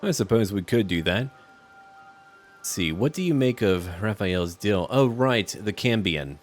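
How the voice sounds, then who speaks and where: A man with a low voice commenting casually on a role-playing game. His delivery is laid-back and musing but varies a lot in pitch, with faint music behind him. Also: animated, a little slow. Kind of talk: casual game commentary, speaking dialogue choices aloud.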